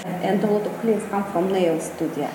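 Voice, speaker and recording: A woman's voice: medium pitch, background noise. Russian-accented woman, roomy echo. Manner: halting non-native English, repeats words, restarts often. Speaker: boutique owner. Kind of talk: street interview. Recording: echoey long reverb, clear background ambience.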